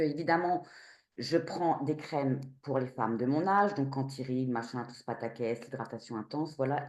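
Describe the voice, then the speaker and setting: A woman in her fifties with a low, deep voice speaks bluntly and without filters, like a frank customer testimonial. She talks over a video call in an echoey room. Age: 50s. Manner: blunt, outspoken, animated and quick.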